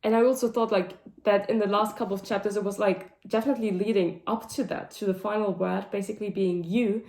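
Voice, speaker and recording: A woman with a hoarse, raspy voice talking casually through a book wrap-up, with lots of "like" and "um" fillers. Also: mid-range voice, fairly even intonation, a little fast, a little mellow. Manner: rambling, full of "like" and "um" fillers. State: hoarse, voice sounding deep and raspy. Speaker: book vlogger. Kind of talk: casual monthly reading wrap-up chat. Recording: roomy echo.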